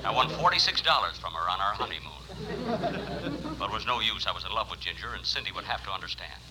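A wry surgeon telling his love story voiced by a man in a lowish, mock-dramatic voice. It is scripted old radio comedy with clear noise. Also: lively intonation, fast talker, natural voice. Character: male surgeon wryly narrating his own romance. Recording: noisy old radio recording.

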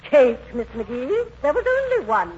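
A woman's voice: high-pitched, huge pitch swings, measured pace, darkish tone, exaggerated voice. A woman playing a fluttery, genteel society matron with affected, hesitant politeness, in 1940s radio comedy. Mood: polite. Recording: muffled, noisy old 1940s radio recording.

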